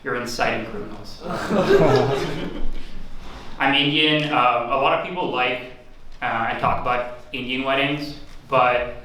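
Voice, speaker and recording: A young man from Singapore doing an open mic stand-up set with deadpan, dark jokes. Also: medium pitch, a little slow, long pauses. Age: young adult. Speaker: student stand-up comedian. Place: student forum room. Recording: echoey room from far off, audience noise.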